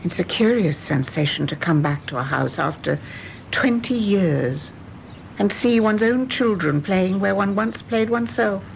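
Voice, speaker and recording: English widow, radio drama, composed, wistful, candid, genteel, lively intonation, creaky edge. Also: female voice, mid-range voice, a little hiss or hum, low-quality recording. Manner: measured, genteel, frank. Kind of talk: British stage-play radio drama acting.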